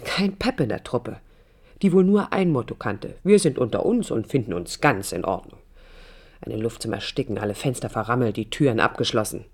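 A woman's voice: rumbling low, animated, moderate pace, a little mellow, husky, full character voice. An actress playing a young, idealistic woman architect who recalls her life in an intimate, literary radio play monologue, reflective and critical. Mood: scornful, intense. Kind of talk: 1980s radio play inner-monologue narration.

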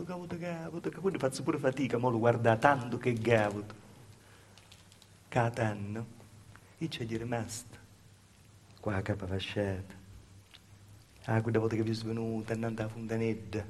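A man's voice: medium pitch, a little mellow, a little hiss or hum, lightly acted. An actor playing a simple, devout, grieving Calabrian peasant mother, telling a rambling, repetitive story in a theatrical dialect monologue. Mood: proud, wistful.

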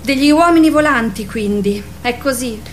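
A woman's voice: on the high side, moderate pace, slight background noise, natural voice. An actress playing a sceptical, teasing young girlfriend in a radio play, with dry, curt replies. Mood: mocking, doubting. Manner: dry, doubting, curt interjections. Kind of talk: radio theatre acting.

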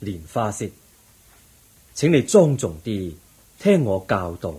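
An actor playing a calm, compassionate Buddha in a Cantonese radio drama, patient and solemn, with a Hong Kong accent. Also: male voice, on the low side, slow pace, slightly rough, full character voice. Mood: stern, calm.

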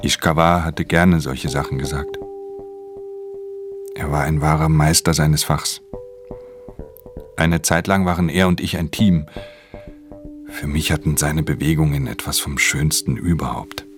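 An actor playing a brooding, solitary pickpocket who narrates his crimes in a controlled, introspective, hushed way in a radio drama. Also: a bass voice, steady tone, a little slow, pauses often. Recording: studio radio drama with prominent music.

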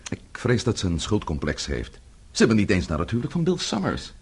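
Low, very husky, courteous husband. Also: male voice, huge pitch swings, brisk, full character voice. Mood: worried, hesitant. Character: worried, courteous husband, deferential to his father-in-law. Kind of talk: radio drama acting.

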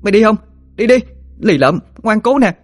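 A man's voice: on the high side, fast talker, pitched above the performer's own voice, natural voice. A man voicing a spirited, scrappy young granddaughter in a thin, whiny, scolding voice for a dramatized story. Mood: furious scolding. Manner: whiny, scolding, repeats words.

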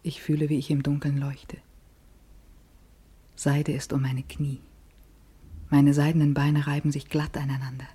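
A low, dark and breathy young society woman in a radio drama. She sounds poised, sensual and vain, delivering her monologue with intimate, deliberate care. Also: little pitch movement, heavily acted. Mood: sensual anticipation. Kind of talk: literary radio-play monologue.

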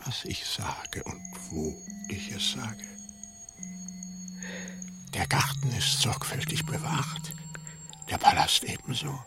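An actor playing Pontius Pilatus, a wrathful Roman procurator, who talks in a low, threatening half-whisper in a radio drama. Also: male voice. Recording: radio play with music underneath.

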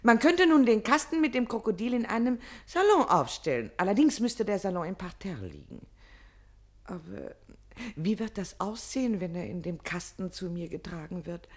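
Twittering, coquettish young wife played by an actress, very lively. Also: female voice, mid-range voice, natural voice. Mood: excited, scheming. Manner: twittering, coquettish, quickly wandering. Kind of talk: German radio play acting.

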